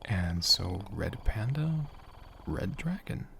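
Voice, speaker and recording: A man speaking softly and gently in an ASMR style, breathy and often whispering, rambling unhurriedly as he answers questions off the cuff. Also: low voice, sing-song, slow pace, slight background noise. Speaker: ASMR creator and channel host. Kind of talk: soft-spoken ASMR, unscripted question-and-answer.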